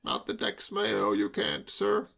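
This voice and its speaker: A man's voice: fairly low pitch, big pitch swings, slow pace, vocal fry, pushed and bright, voice pushed down, full character voice. A man doing a chatty, proper old-school chauffeur. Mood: reproving. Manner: deferential, long-winded, rambling. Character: chatty, proper working-class male chauffeur.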